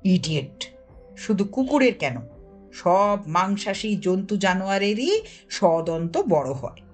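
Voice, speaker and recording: Woman voicing a sly, bossy older lad, slow and theatrical. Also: mid-range voice, very animated, darkish tone, faint music behind, pitched below the performer's own voice. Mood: knowing, scornful. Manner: knowing, teasing, scornful.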